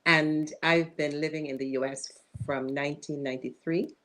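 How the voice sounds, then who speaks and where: A woman with a Barbadian accent, a guest introducing herself in a polite, measured way. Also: sits low, steady tone, fairly bright tone. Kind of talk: casual interview chat.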